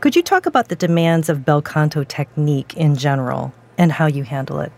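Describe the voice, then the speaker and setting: A woman hosts an opera broadcast. She asks clear, measured questions and introduces segments in a presenter's style. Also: sits low, expressive, a little mellow. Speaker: radio broadcast host and interviewer.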